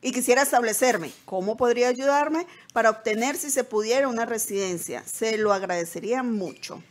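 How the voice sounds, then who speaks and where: Lady cohost reading viewer messages out loud, highish voice, studio chat show. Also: a little fast. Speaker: co-host reading viewer questions. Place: indoor studio.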